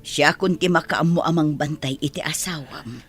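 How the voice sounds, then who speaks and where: A woman acting a kindly, supportive older mother in a radio drama, with a husky voice and an Ilocano accent. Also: low voice, moderate pace, music in the background, natural voice. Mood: kind, reassuring.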